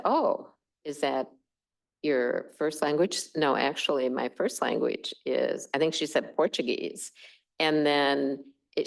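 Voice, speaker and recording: Deep gravelly woman chairing a meeting. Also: poor audio. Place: village board meeting room.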